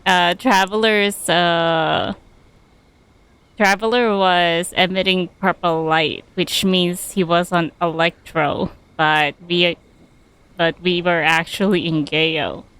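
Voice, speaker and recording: Slow-paced woman game streamer. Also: fairly low pitch, steady tone, a bit bright, clear, resonant tone. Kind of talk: casual gameplay commentary, reading some dialogue aloud.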